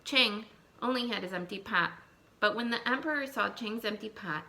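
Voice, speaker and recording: A woman teacher reading a picture book aloud to young children, expressive, thinking aloud and putting questions to her listeners. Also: mid-range voice, normal speed, vocal fry. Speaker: elementary school teacher.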